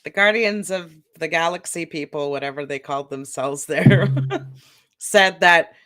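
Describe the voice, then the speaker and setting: A woman's voice: low-pitched, a little slow, a bit bright. Blunt, sarcastic woman co-host. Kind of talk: casual podcast chat.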